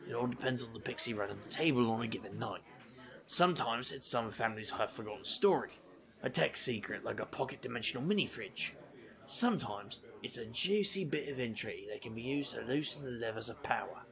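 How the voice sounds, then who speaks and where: An actor playing a wry, reckless male paranormal investigator who tells his own tale in an audio drama, with a gravelly, sardonic delivery. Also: mid-range voice, sharp tone. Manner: wisecracking, casual, sardonic. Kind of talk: audio drama acting with noir-like first-person narration.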